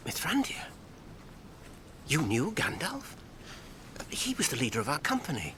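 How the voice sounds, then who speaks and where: An actor playing a weary, fearful but determined hobbit on a doomed quest, earnest and anguished in a fantasy radio drama. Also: male voice, on the high side, wildly swooping pitch, a little slow, fairly bright tone, slight background noise, full character voice. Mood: guarded, earnest.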